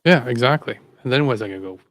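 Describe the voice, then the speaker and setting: A man's voice: mid-range voice, lively intonation, fast, a bit bright, no echo. A man hosting a casual podcast, laid-back and rambling as he introduces stories. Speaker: host.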